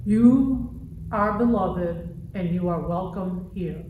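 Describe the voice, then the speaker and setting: A woman deliberately reciting a liturgical refrain as a participant in worship. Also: fairly low pitch. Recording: echoey, dull, distant mic, faint noise.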